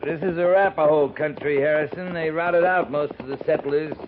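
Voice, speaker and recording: A man's voice: a little high, fairly even intonation, normal speed, natural voice. A man playing a wounded, weary cavalry captain in a 1950s radio western, terse and commanding, short of breath from his injury. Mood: knowing, dry. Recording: noisy, tinny 1950s radio broadcast.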